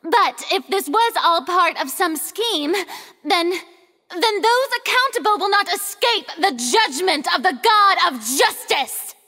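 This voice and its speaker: A woman playing a theatrical young female ruler in a video game, haughty and accusatory, declaiming pompously with dramatic pauses. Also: extremely high pitch, lively intonation, pushed and bright, dry recording, faint music behind, full character voice. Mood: grandly solemn.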